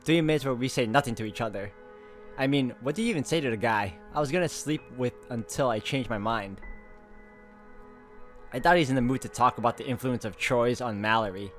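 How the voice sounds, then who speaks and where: A man hosting a game playthrough, reading visual novel narration aloud in an informal, expressive way with casual asides and the odd stumble. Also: medium pitch, fairly quick, faint music behind. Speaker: gaming video host.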